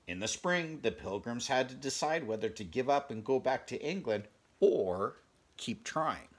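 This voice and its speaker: A man in his fifties with a gravelly voice reads a picture book aloud, adding chatty, conversational asides for young listeners. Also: mid-range voice, lively intonation, a little slow. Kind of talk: children's read-aloud with casual asides. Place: small furnished bedroom, indoors.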